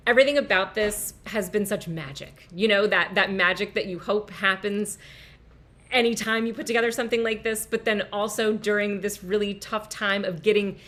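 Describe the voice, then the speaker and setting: A woman's voice: mid-range voice, fairly even intonation, moderate pace, fairly bright tone. Earnest, gushing woman. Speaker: theatre educator, guest speaker. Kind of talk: casual spoken testimonial at an online event.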